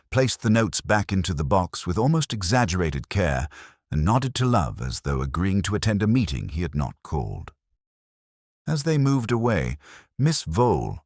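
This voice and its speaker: A man's voice: sits low, no echo, studio quality. A man reading scripted literary mystery narration as a storyteller. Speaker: audiobook narrator.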